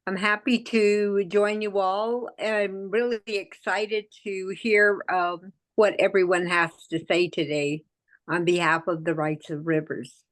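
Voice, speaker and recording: A woman from the United States introducing herself over a video call in a friendly, measured way. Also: mid-range voice, flat delivery. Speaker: webinar speaker. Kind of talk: self-introduction.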